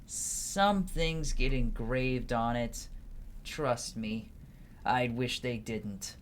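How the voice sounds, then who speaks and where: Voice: mid-range voice, extremely slow. A game player voicing a jaded, sweary island delinquent with a troubled past, in a blunt, sardonic, rambling way. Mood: conspiratorial. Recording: clearly noisy background.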